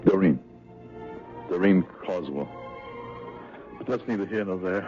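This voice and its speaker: A man's voice: low-pitched, unhurried, a little mellow, soft background music. An actor playing an anguished, insecure, lonely man in a 1970s radio drama, earnest and pleading. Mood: troubled, desperate. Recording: tinny narrowband vintage radio recording.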